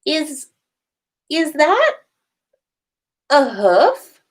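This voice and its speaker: A woman's voice: high voice, huge pitch swings, drawn-out words, pitched above the performer's own voice, exaggerated voice. A woman voicing a sensible, bossy teenage witch girl who speaks earnestly in a story reading. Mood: startled.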